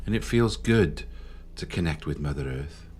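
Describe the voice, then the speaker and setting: A man leading a guided meditation in a slow, soothing manner with long pauses. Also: rumbling low, animated, a little mellow. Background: background music.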